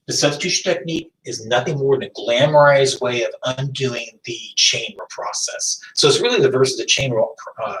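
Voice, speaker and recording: A math teacher whose first language is French, lecturing a class and thinking aloud, often stopping to rephrase. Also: male voice, medium pitch, a bit bright, a little hiss or hum. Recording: echoey room, distant mic.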